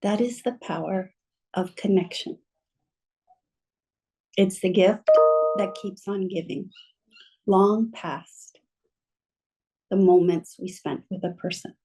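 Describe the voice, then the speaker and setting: A woman with a mellow, relaxed voice speaks slowly and gently. She guides her listeners through a calming reflection. She is heard over a video call. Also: mid-range voice, breathy edge, poor audio. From mother from Mexico, bilingual. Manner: gentle, slow, soothing guidance. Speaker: guest speaker.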